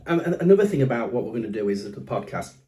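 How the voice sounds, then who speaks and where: A man's voice: medium pitch, very animated, racing, mellow. A middle-aged man from Doncaster with a Yorkshire accent, co-hosting a podcast and telling stories in an easygoing, agreeable way. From Doncaster, England. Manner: easygoing, agreeable, laughs often. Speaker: podcast co-host. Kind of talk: casual chat and storytelling.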